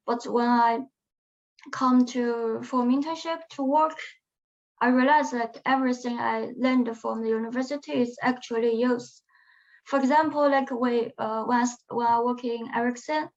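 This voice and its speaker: A young woman speaking English as a second language, answering interview questions in a halting, hesitant way. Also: a little high. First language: not English; non-native speaker. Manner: hesitant, halting phrasing with restarts. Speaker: alumna guest. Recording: muffled lo-fi webinar audio.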